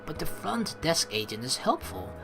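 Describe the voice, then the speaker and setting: A woman playing through a game as host, reading its text aloud and chatting with playful, expressive commentary. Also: low-pitched, normal speed, soft background music. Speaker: let's play host.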